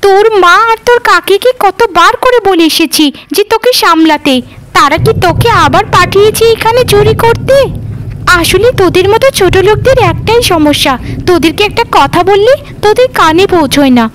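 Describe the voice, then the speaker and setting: Casting: female actor as arrogant rich village auntie for a cartoon, very high voice, sneering scolder, music underneath. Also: lively intonation, normal speed, a bit bright, slight background noise, poor audio. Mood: angry accusing. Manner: sneering, berating. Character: haughty, scornful rich village woman, scolding the poor. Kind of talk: Bengali cartoon dubbing.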